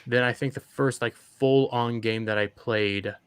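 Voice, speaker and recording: A man's voice: medium pitch, fairly even intonation, unhurried, clear, resonant tone, no room sound. Young man hosting a gaming chat. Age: 20s. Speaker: stream host.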